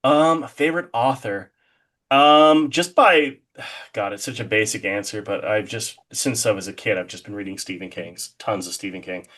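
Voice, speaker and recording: A man with a fairly low voice talks as an interview guest. His delivery is informal and conversational, with an enthusiastic way of explaining things. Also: moderate pace, a bit bright. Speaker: interview guest, horror artist.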